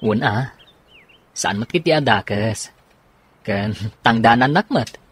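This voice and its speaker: Male actor as hired helper, Ilocano accent, very raspy, mid-pitched, radio drama. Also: animated, takes it slowly, a bit bright, heavily acted. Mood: agreeable, low-key. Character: adult man hired as a helper to follow someone.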